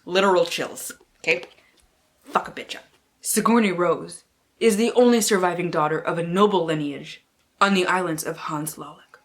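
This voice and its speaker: A woman's voice: on the low side, moderate pace. A woman book vlogger chatting casually and reading blurbs aloud in a playful, theatrical way, with slang and free swearing.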